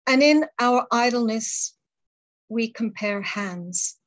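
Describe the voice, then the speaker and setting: A woman poet reading her poems aloud and talking between them, in a measured, deliberate way with pauses between phrases. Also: fairly high pitch, lively intonation. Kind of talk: poetry reading.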